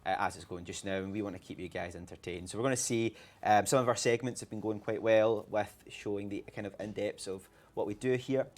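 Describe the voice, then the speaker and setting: A man's voice: on the low side, steady tone, a little fast, hardly any pauses, darkish tone. A young Scottish man hosting a livestream interview, chatty and upbeat with plenty of ums. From Scotland. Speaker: livestream host. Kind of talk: casual interview chat.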